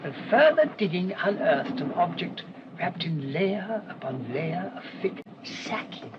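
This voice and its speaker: An actor playing a humble, earnest English parish priest in a radio play, mild, hesitant and formally wordy. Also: male voice, high voice, expressive, measured pace. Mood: earnest, storytelling. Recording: dull, noisy old radio recording.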